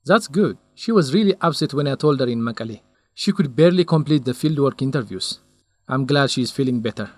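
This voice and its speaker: A man's voice: mid-range voice, animated, brisk, a little mellow, dry recording, lightly acted. An actor playing a young male researcher in a radio drama, lovesick and stubborn, speaking in an earnest, defensive way. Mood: gentle.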